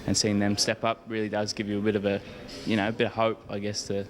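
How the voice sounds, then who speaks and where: A man's voice: lowish voice, completely flat delivery, quick pace, faint music behind. A young man with an Australian accent giving casual, hesitant, rambling answers as an interviewed athlete. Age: young adult. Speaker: Australian rules footballer being interviewed.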